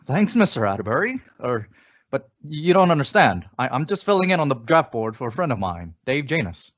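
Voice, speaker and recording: A man playing a polite, hesitant employee and husband in an old-time radio sitcom, speaking haltingly and meekly. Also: medium pitch, very animated, fairly bright tone. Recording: dull, dry lo-fi radio recording.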